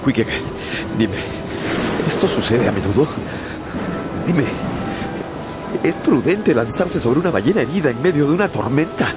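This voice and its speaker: Nervous young sailor, wry, lots of up and down, music in the background. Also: male voice, medium pitch, very animated, a little slow, pauses often. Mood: uneasy. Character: young male sailor, uneasy and questioning. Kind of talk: radio drama acting. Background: dramatic music.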